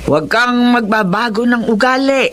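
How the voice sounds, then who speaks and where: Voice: mid-range voice, moderate pace, fairly bright tone, slight background noise, lightly acted. A performer playing a kindly elderly grandmother in a Filipino radio drama, affectionate and full of exclamations.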